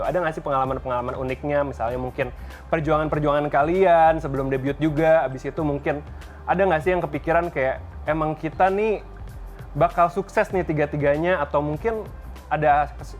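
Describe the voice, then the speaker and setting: A TV show host in a casual interview who chats along and mixes in English words. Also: medium pitch.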